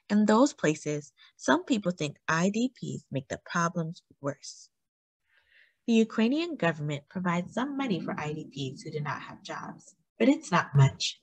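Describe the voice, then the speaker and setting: A woman reading a children's book aloud for a classroom, slow and careful with lively rises on key words. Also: lowish voice, breathy edge, dry recording.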